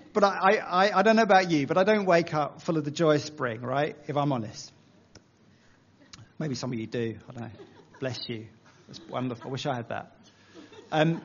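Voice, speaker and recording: English male preacher, casual self-deprecating delivery, slightly high voice, dull muffled recording. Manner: conversational, self-deprecating, uses analogies. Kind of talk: sermon.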